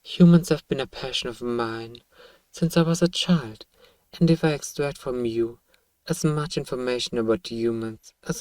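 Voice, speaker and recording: A man acts out an earnest alien researcher in a roleplay audio drama. His voice sits at a medium male pitch, formal and measured, with a clinical curiosity. It is recorded dry, a little away from the microphone. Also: darkish tone, no room sound, recorded from a distance, close to an everyday voice. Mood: earnest, matter-of-fact. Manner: formal, measured, addresses listener as "human". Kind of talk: scripted audio roleplay monologue, ASMR.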